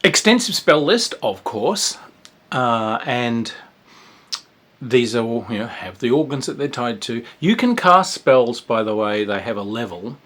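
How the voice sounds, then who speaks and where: A man giving a casual, rambling review of a tabletop game, with a wry, dark humour and plenty of fillers and restarts. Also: mid-range voice, big pitch swings, a little slow.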